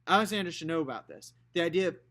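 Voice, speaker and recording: A man voicing a firm, middle-aged uncle who scolds in measured tones. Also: on the high side, animated, pushed and bright, pitched above the performer's own voice. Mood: stern.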